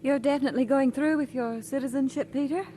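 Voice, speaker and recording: An actress playing a gentle, devoted pastor's wife with composed delivery in an old-time radio drama. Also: female voice, high voice, fairly even intonation, fast talker, a little hiss or hum, close to an everyday voice. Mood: affectionate.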